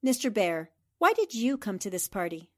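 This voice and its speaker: A woman's voice: mid-range voice, big pitch swings, moderate pace, a little mellow. A woman playing a self-assured, inquisitive newspaper reporter turned detective, articulate and probing, in 1940s radio drama style.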